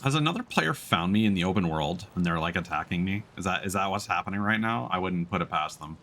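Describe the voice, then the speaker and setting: A man chatting casually as he live-streams a game, musing aloud in an unhurried way. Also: low-pitched, expressive, bright, forceful tone, slight background noise.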